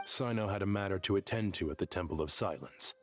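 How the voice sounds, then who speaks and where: A low-voiced, caring mentor from a video game, played by a man. He speaks gently and reassuringly, his voice trailing long reverb over faint music. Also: fast, lightly acted. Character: kind, caring young male mentor.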